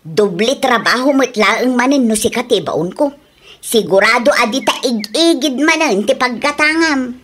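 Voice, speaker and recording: A woman's voice: fairly high pitch, expressive, moderate pace, a bit bright. A woman with an Ilocano accent playing a young wife who likes expensive things, talking in a defensive, insistent way. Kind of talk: radio drama acting.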